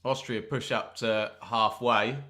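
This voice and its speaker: A man's voice: lowish voice, steady tone, takes it slowly, pushed and bright. A man hosting a live football watch-along, commentating on the play and chatting to viewers with jokes and asides. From England. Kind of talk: live match commentary and chat.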